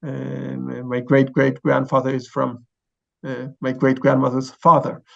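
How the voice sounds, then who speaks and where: A man in his forties with a gravelly, creaky voice and an Israeli accent gives explanatory interview answers. He speaks haltingly, searching for words, and the audio is slightly dull. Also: medium pitch, monotone. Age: 40s. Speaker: chief rabbi, interview guest.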